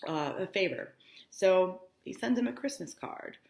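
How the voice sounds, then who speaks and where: A woman reads literature aloud like a classroom teacher. Her voice sits on the low side, and the room adds a clear echo. She steps out of the text to put it in simple, everyday words. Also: lively intonation, unhurried, darkish tone. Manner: explanatory, breaks off to paraphrase in plain words. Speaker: high school English teacher. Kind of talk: reading a novel aloud with explanatory asides.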